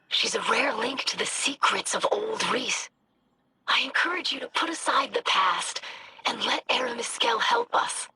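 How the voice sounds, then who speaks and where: A woman's voice: fairly low pitch, noisy background. A woman voicing an earnest, kind, hopeful young female alien scholar in a video game.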